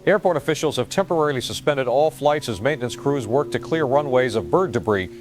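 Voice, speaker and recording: An actor playing a dramatic field reporter, delivering a serious, urgent scripted news report from a disaster. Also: male voice, mid-range voice, animated, brisk, faint music behind, close to an everyday voice.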